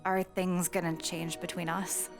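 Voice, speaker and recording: A woman's voice: fairly low pitch, little pitch movement, a little slow, close to an everyday voice. A woman playing a supportive, perceptive wife in a comic dub, speaking with earnest reassurance. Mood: knowing, tender. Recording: music behind the voice. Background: music.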